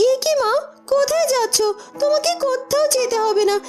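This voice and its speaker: A woman's voice: extremely high pitch, fairly quick, soft background music, pitched above the performer's own voice. A woman voicing a chirpy young woman at a cartoon court, eagerly reassuring.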